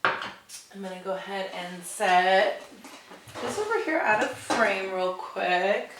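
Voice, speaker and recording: Young woman, casual host, encouraging, laughs a lot, medium pitch, very echoey room, mic set back. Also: takes it slowly, recorded from a distance, a little hiss or hum. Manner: warm, encouraging, laughs often, fillers like um. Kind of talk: casual interview chat.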